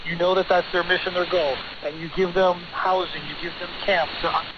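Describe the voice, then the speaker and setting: A man's voice: high-pitched, fairly even intonation, normal speed. An American man, a lawyer being interviewed, answering in an assertive, argumentative and insistent manner over a radio relay. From United States. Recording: noisy, dull shortwave radio relay.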